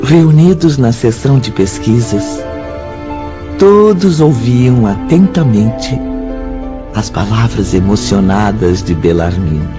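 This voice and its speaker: A man's voice: lowish voice, expressive, slow pace. A man with a Brazilian accent delivering scripted narration for a radio drama. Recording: dull lo-fi audio with clear music behind. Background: music.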